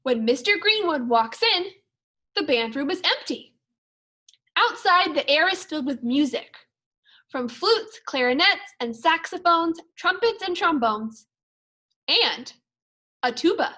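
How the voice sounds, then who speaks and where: A woman teacher reading a storybook aloud for young children, expressively and in measured phrases. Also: sits high, animated, pushed and bright. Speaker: second grade teacher.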